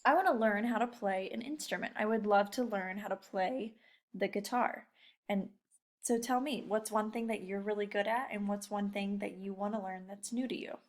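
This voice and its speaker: A woman's voice: medium pitch, moderate pace. A woman teacher giving a casual lesson to young children, encouraging them and addressing them directly with questions. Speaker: elementary school teacher. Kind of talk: casual read-aloud lesson talk to young pupils.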